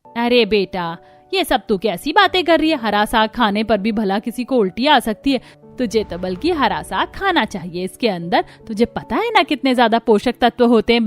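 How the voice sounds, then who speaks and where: A woman's voice: a little high, lively intonation, normal speed, faint music behind, lightly acted. A woman voicing a cheerful, bossy middle-aged mother-in-law in a cartoon story, exclaiming and insistent. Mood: fussing.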